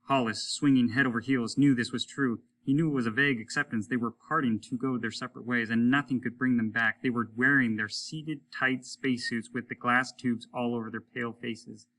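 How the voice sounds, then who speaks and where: A man's voice: medium pitch, steady tone, brisk, few pauses, fairly bright tone, rough recording. A man reading a story aloud as a teacher would, in dramatic storytelling narration.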